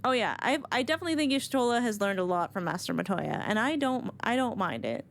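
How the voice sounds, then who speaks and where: A woman's voice: mid-range voice, big pitch swings, quick pace, a little hiss or hum. A woman streamer giving casual live reaction commentary on a game, chatty and musing aloud. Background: game music.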